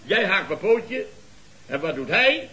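A man acting a pompous, excitable middle-aged boss of a building firm in an old radio comedy, exclaiming and repeating himself. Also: high-pitched, a little slow, heavily acted, low-quality recording. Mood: boastful, indignant. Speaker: comic actor in a radio comedy series. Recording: old radio recording with studio audience.

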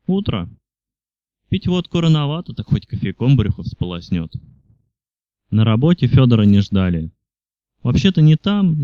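A man's voice: mid-range voice, warm tone, no echo, highs cut off. A man reading literary fiction aloud as a scripted audiobook narration. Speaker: audiobook reader.

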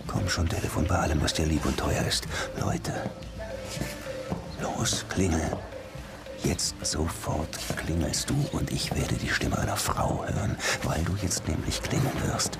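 A very deep male voice with music behind it: a world-weary detective in a radio crime play. He is dry and guarded, with a romantic streak. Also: unhurried, creaky, full character voice. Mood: impatient. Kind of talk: radio crime drama dialogue.

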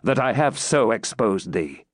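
A man's voice: mid-range voice, expressive, a little slow, no room sound, studio quality. A man voicing a proud, brooding medieval knight in an audiobook, with grave, formal, archaic declamation. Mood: impassioned. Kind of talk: dramatised audiobook reading.

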